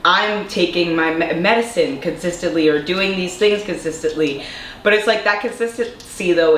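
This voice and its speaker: A woman's voice: on the low side, fairly bright tone. Congested young woman in an echoey room. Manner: earnest, lecturing, asks rhetorical questions. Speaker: vlogger. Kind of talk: casual opinion monologue to camera. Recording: echoey room with faint noise.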